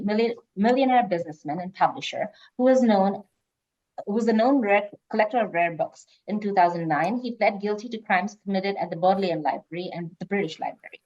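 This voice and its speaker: A woman's voice: mid-range voice, darkish tone. A woman hosting a quiz, reading questions aloud and moderating informally. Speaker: quiz host. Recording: dull lo-fi online call audio.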